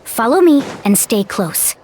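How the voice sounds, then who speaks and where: A woman playing an upbeat, friendly young female guide in a video game, expressive and encouraging. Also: a little high, a little slow, a little hiss or hum. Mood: eager. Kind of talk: English video game voice acting.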